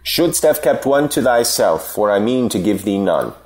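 An actor playing a churlish cynic philosopher in a Shakespeare reading, answering with biting contempt. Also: male voice, lowish voice, big pitch swings, normal speed, a little mellow. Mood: scornful, biting. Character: Apemantus, scornful churlish cynic philosopher, male. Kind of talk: unrehearsed Shakespeare play reading.

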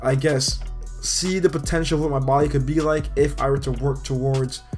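A man's voice: medium pitch, brisk, faint music behind. A young man in his twenties, a fitness vlogger, talking casually and rambling a bit while losing his voice from being sick. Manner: relaxed, chatty, rambling with fillers. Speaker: fitness YouTuber. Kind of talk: casual workout vlog talk. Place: large indoor gym.

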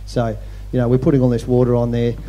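Very dark-toned man on a panel. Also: medium pitch, monotone, a little hiss or hum. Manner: measured, trailing off quietly at phrase ends. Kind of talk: panel discussion. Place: large hall stage.

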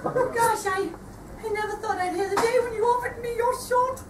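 A woman's voice: very high voice, normal speed, very noisy. A woman performing improv comedy on a club stage, playful and mock-coy. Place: small comedy club stage with a live audience. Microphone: far camera in the audience. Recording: roomy live club audio from far off. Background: laughing crowd.

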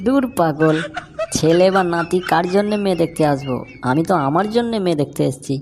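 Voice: mid-range voice, animated, a little fast, background noise, close to an everyday voice. A performer voicing a blustering, lecherous old grandpa in a comic cartoon, coarse one moment and coy about romance the next. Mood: bashful eager. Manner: blustering, coarse, bashful when talking romance. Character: cheeky lecherous old grandpa seeking a young bride.

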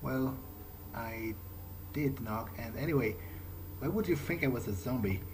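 Male playthrough narrator, in character, mid-pitched, hesitant and unhurried, over noisy game ambience. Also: expressive. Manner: hesitant, tentative, halting. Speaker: gamer role-playing his character. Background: video game ambience.

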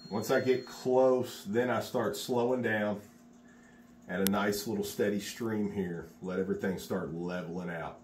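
A man's voice: lowish voice, fairly even intonation, a little slow, a little hiss or hum. Chatty man teaching in a roomy workshop. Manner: chatty and encouraging, talks while working. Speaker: contractor teaching epoxy work. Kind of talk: casual how-to demonstration. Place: unfinished garage workshop.

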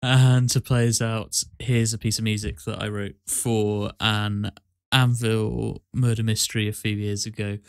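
A man's voice: fairly low pitch, flat delivery, unhurried, creaky, clean tone, no echo, clean studio sound. A man chatting casually as co-host of a podcast. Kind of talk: casual chat.

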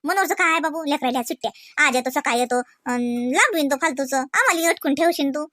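High-voiced chatty village daughter played by a woman. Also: lively intonation, quick pace, pushed and bright, no room sound, studio quality. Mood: protesting, insistent. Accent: Varhadi Marathi, Vidarbha. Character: chatty married village daughter visiting her family. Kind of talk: rural comedy cartoon dialogue.

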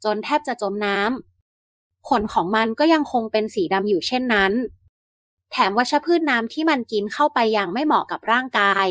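A woman narrating Aesop-style fables for bedtime in an even, measured reading. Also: a little high, no echo, studio quality. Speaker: storyteller.

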